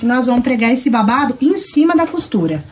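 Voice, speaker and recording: A woman's voice: fairly high pitch, a little fast. A woman with a Brazilian Portuguese accent, chatting casually while demonstrating sewing on a live stream in a workroom. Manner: chatty, lively, laughs often. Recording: noisy sewing room. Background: sewing machine noise.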